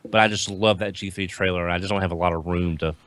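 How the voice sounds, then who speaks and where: A man's voice: low-pitched, fairly even intonation, fast, fairly bright tone, dry recording. A man chatting casually as a podcast panelist, often doubling back on his phrases. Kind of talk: casual hobby chat.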